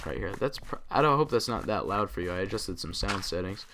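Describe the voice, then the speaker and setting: A young man hosting a gaming channel, tired and a bit raspy, rambling casually to himself as he plays. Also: low voice, animated, fairly quick. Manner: rambling, talks to himself while playing. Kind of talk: casual gameplay commentary. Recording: clear typing noise behind. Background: keyboard typing and clicks.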